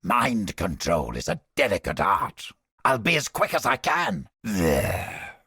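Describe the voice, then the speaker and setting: Raspy, haughty old scholar. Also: male voice, fairly low pitch, huge pitch swings, slow pace, sharp tone, no echo, studio quality, heavily acted. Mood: grave, brooding. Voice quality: raspy, gravelly. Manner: pompous, wordy, irritable. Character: cynical, haughty old heretic scholar with mind-control powers. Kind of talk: dramatic video game voice acting.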